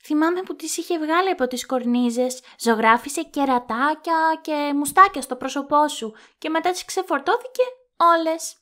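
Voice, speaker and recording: A woman's voice: high-pitched, few pauses, fairly bright tone. A woman playing a caring, frank young girl in an audio drama, with a matter-of-fact way of talking. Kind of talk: Greek amateur audio drama acting.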